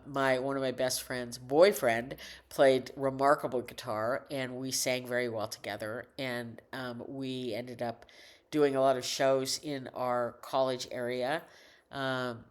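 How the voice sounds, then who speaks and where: An older woman with a deep, low alto voice rambles into a desk microphone at home. She keeps stopping, restarting and correcting herself as she chats in a loose personal monologue. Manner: rambling, halting, many restarts and self-corrections. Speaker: vlogger.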